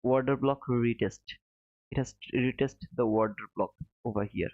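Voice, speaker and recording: A man's voice: fairly even intonation, moderate pace, no echo. A man from Bangladesh, hosting a casual trading livestream, reading viewer chat and calling viewers brother. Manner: friendly and chatty, calls viewers brother, says boom. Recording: dull, slightly muffled, dry.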